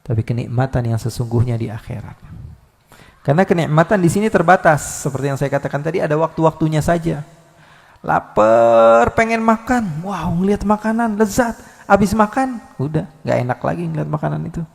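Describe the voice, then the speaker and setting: A male preacher giving a live Islamic sermon, lively, swinging between loud calls and soft asides with many rhetorical questions. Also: a little high, brisk, vocal fry, in a large hall. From Indonesia. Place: large hall at a live religious gathering.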